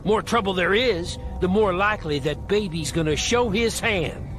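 Game mentor male actor: jovial American agent, middle-aged, folksy, high-set voice, American accent. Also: moderate pace, music in the background, close to an everyday voice. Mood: briefing, confident. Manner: folksy, breezy. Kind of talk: video game cutscene acting.

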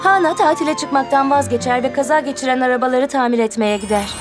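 High-pitched woman narrating a children's story. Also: fast talker. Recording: music behind the voice.

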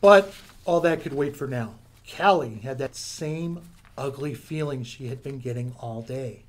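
A man narrating a radio drama in a suspenseful storytelling style, with deliberate dramatic pauses. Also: mid-range voice, natural voice.

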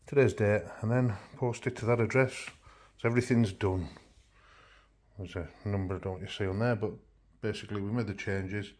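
A man's voice: on the low side, fairly even intonation, brisk, darkish tone. Chatty middle-aged man, throaty. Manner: chatty, matter-of-fact walkthrough. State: throaty from a chest infection. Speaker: van life vlogger. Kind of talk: casual how-to vlog. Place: home kitchen indoors.

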